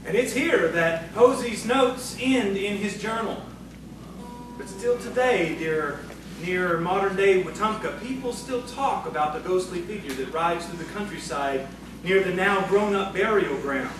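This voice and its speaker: A man's voice: sits high, lively intonation, unhurried, noisy background. A man narrating and hosting an audio theatre show, addressing listeners in a scripted presenter's style. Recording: very echoey, distant hall recording with music.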